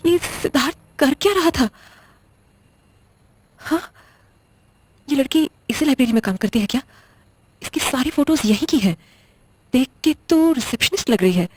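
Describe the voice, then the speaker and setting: A woman playing a confused, uneasy young widow who talks haltingly in an audio horror drama. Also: high voice, lively intonation, darkish tone, dry recording. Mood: suspicious, puzzled. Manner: hesitant, halting, muttering questions to herself.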